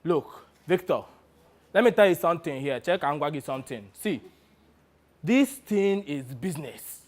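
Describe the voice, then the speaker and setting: A man playing a brash, wheedling, money-minded talent agent with a Nigerian accent in a dramatic monologue, animated and full of exclamations. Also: on the high side, a little slow. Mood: persuasive, firm. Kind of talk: one-person dramatic monologue.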